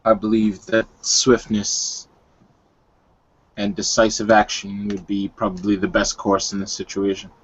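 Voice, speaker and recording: Guy as a grim military werewolf in an rpg, formal, lowish voice, muffled call. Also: monotone, measured pace, slight background noise, close to an everyday voice. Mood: grim resolve. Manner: clipped, formal, resolute. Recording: dull online call audio.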